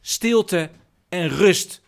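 A man's voice: sits high, animated, drawn-out words, gravelly, a little hiss or hum, full character voice. A man acting in a radio play as a grumpy, sleepy man who has been woken in the night. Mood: groggy, irritated. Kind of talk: naturalistic radio drama acting.

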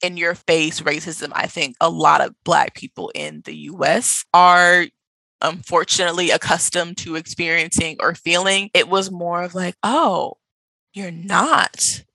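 A young woman with an American accent speaks in a candid, conversational storytelling style. Her voice is bright and forward with noticeable vocal fry, recorded close and dry. She is animated and emphatic as she talks. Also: lowish voice, a little slow, dry recording. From United States. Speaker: podcast guest, travel blogger.

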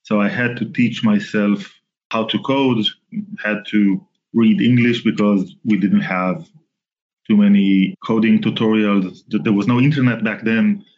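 Man from Israel, forties, lowish voice, stop-start delivery, dull lo-fi sound. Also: steady tone, a little mellow, a little hiss or hum. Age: 40s. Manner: halting, many restarts and fillers. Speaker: guest, company founder. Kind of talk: casual interview talk.

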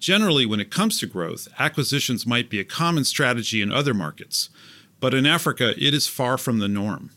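Bright-voiced male podcast host. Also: medium pitch, a little fast. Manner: clear, even, polished delivery. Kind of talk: scripted narration and interviewing.

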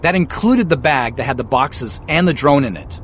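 An actor playing an anxious, stubborn amateur investigator in a naturalistic audio drama, speaking in an earnest, hesitant, conversational way. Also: male voice, mid-range voice, lively intonation, moderate pace, a little hiss or hum, close to an everyday voice. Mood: earnest, explaining.

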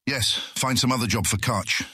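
Bright, breezy, husky guildmaster. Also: male voice, medium pitch, normal speed, no room sound, studio quality. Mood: blithely demanding. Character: genial, naive-seeming guildmaster, brightly entitled and resolute. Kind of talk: fantasy audio drama acting.

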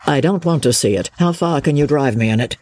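A man voicing a suave, calculating male official in an audiobook, quietly commanding and impatient, with menace underneath. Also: a little high, expressive, fairly quick, pitched above the performer's own voice. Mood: curt. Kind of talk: audiobook character voice.

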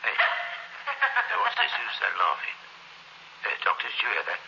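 Deep-voiced, excitable police sergeant, old radio. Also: male voice, measured pace, heavily acted. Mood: alarmed. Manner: urgent, excitable questioning. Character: brisk, questioning male police sergeant. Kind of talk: old-time radio drama acting. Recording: muffled old radio recording, faint hiss.

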